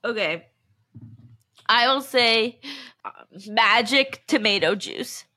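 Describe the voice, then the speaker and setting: A woman's voice: a little high, slow pace, pushed and bright. A woman co-hosting a casual comedy podcast, giggly and prone to talking through laughter. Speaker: podcast co-host.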